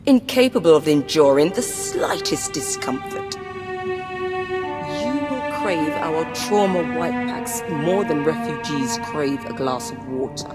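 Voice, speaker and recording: An actress playing a middle-aged, cold and embittered corporate president in a video game, speaking in a measured, formal, imperious way. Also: female voice, low voice, animated. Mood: contemptuous. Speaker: company president. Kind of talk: dramatic video game cutscene acting. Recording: game music behind the voice.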